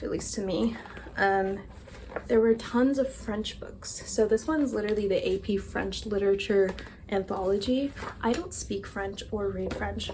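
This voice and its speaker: A woman's voice: mid-range voice, darkish tone, a little hiss or hum, rough recording. Chatty young woman rambling about books. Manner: chatty, rambling, self-deprecating. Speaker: book vlogger. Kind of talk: casual chat.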